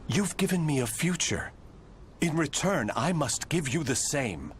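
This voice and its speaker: A man's voice: a little high, animated, measured pace, slight background noise. A man playing a proud, devoted swordsman and protector in a video game cutscene, speaking formally and earnestly. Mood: tender, earnest. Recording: loud music behind the voice.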